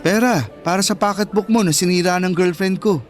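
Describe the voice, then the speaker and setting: A protective teenage Filipino boy, played by a man in a radio drama. His voice is high for a male, earnest and fair-minded. Quiet music runs behind him. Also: fairly quick, close to an everyday voice. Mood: gentle, reassuring. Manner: earnest, reassuring.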